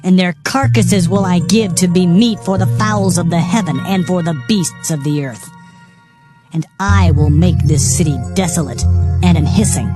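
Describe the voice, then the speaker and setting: A man playing a stern, anguished Hebrew prophet pronouncing doom in a solemn, declamatory dramatized scripture reading. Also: sits high. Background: background music.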